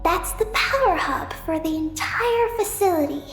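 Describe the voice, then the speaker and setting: A woman playing a calm, knowledgeable young guide in a video game, earnest and gentle, explaining things in a measured way. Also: extremely high pitch, heavily acted. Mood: measured, informative. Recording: roomy echo, faint music behind.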